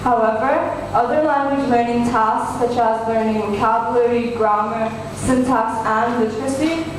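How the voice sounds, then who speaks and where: A woman's voice: a little high, steady tone, moderate pace. A young woman of Indian origin giving a rehearsed student presentation to an audience. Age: teens or young adult. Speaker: student speaker giving a talk. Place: school event hall with audience. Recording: echoey hall, distant mic, noisy.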